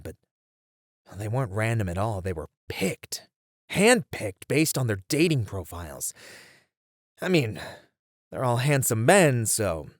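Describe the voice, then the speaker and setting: A man playing a driven detective who thinks aloud in halting phrases, in a dramatic ASMR roleplay. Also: fairly low pitch, no echo, studio quality. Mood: regretful, grim. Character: driven male detective piecing together a serial killer case. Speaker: voice actor in a roleplay. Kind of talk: ASMR roleplay, dramatic one-sided dialogue.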